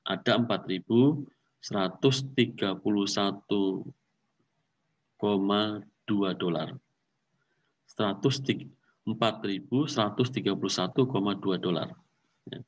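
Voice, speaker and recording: A man's voice: fairly low pitch, measured pace, pauses often, distant mic. A man lecturing on accounting, walking step by step through calculations, repeating figures and mixing in English accounting terms.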